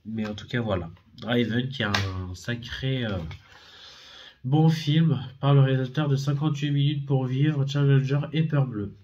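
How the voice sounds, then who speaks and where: A man's voice: medium pitch, normal speed, a little mellow, lo-fi sound, a little hiss or hum. A man with a head cold, talking casually and hesitantly, with many fillers and self-corrections, as he shows secondhand discs from his collection. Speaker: DVD and Blu-ray collector. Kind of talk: casual unboxing video.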